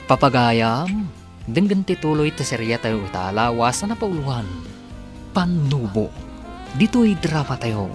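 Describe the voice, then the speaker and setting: A man's voice: medium pitch, sing-song, a little slow. A man with a Filipino accent reading scripted announcements and narration as the announcer of a radio drama serial. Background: instrumental music, guitar.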